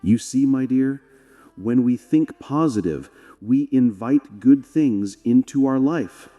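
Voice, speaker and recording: A man voicing a wise, kindly old village woman in a gentle, reassuring manner for a moral story. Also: mid-range voice, expressive, takes it slowly, in a large hall, lo-fi sound, soft background music.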